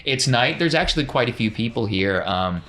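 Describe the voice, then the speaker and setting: A man's voice: mid-range voice, animated, brisk, bright, forceful tone. A man working as game master, narrating a tabletop roleplaying game in a conversational, descriptive way. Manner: conversational, descriptive scene-setting.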